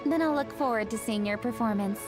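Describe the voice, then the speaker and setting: A woman's voice: a little high, brisk, close to an everyday voice. A woman voicing a poised, knowledgeable young noblewoman in a video game, explaining things in a polite, composed way. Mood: gracious. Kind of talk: scripted video game dialogue. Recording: game music behind the voice.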